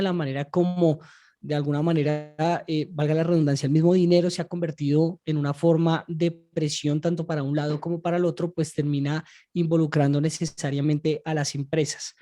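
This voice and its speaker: Guy cohosting a podcast, slow and rambly, lots of ehs. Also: fairly high pitch, dark tone. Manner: measured, drawn-out explaining with many fillers. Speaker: podcast co-host. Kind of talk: conversational explainer.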